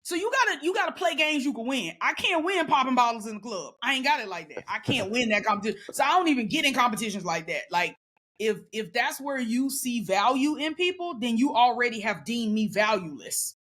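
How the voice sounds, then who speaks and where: A woman talking casually as a guest, loud and emphatic with a sassy edge. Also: a little high, lively intonation, brisk. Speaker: podcast guest. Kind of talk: casual conversation.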